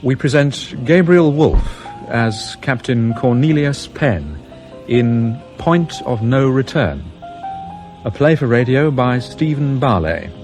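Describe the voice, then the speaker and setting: A man formally announcing a radio drama in a measured introduction. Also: mid-range voice, lively intonation, over music.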